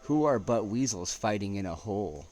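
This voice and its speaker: A man reading poetry aloud as an amateur, in a halting way with stumbles and restarts. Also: fairly low pitch, expressive, darkish tone, soft background music. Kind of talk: poetry recitation with casual asides. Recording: noticeable background noise.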